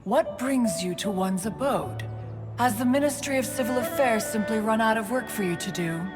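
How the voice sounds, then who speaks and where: A woman's voice: a little high, animated, moderate pace, natural voice. A woman voicing a haughty, stately immortal being with imperious formal speech in a video game. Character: haughty, aloof female immortal bird adeptus, stately. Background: game music.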